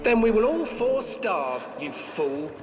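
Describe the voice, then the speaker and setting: A man puts on a scheming, cruel wife from a fairy tale. The voice is pitched up high and swings about, scornful and insistent, echoing in a big hall. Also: big pitch swings, takes it slowly, lo-fi sound, exaggerated voice. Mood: scornful, hard. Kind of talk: storybook character voice in a video game. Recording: echoing hall, distant, music behind.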